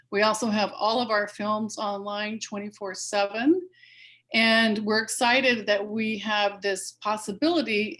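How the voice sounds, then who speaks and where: Woman hosting a panel on a video call, chatty and upbeat, lots of ums, mid pitch. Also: a bit bright. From Peru. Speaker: festival host. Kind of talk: live-stream panel introduction.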